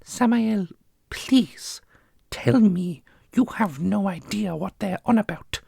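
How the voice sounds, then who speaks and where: A man voicing a defiant, sharp-tongued companion of a dark prince in a fantasy audio roleplay, with an insolent manner. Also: sits high, gravelly, husky, no echo, clean studio sound, voice pushed up, heavily acted. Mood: pleading. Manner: quick, sarcastic, insolent.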